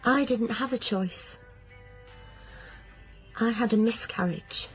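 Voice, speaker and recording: An actress playing a blunt, sceptical, practical friend, frank and questioning, in naturalistic radio drama. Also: female voice, a little high, takes it slowly, soft background music. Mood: hurt, subdued.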